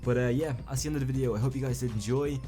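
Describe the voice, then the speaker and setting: A man's voice: mid-range voice, fairly even intonation, racing, dark tone, faint music behind. A young man giving casual video commentary as a YouTube film commentator, rambling and often correcting himself mid-sentence. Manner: rambling, self-correcting, stumbles and restarts. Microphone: home recording mic.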